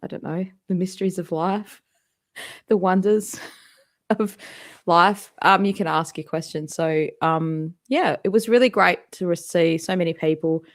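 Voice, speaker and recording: Chatty Western Australian woman, lowish voice. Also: measured pace. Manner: chatty and rambling, laughs often, many ums. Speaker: musician hosting a live fan Q&A. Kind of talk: casual live-stream chat. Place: home, indoors. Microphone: desktop microphone at a computer.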